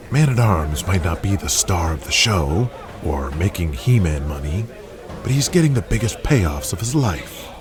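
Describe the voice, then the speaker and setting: A man playing a cynical, blunt, foul-mouthed 1980s wrestling promoter in an audio drama, speaking with authority. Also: low voice. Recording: crowd noise behind the voice. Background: arena crowd.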